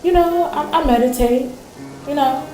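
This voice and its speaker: A woman playing a shaken, spiritual fisher in a radio play, recounting a brush with death in halting, dramatic fashion with pauses and repetition. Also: high voice, dark tone, lightly acted. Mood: excited, earnest. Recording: roomy echo with music underneath.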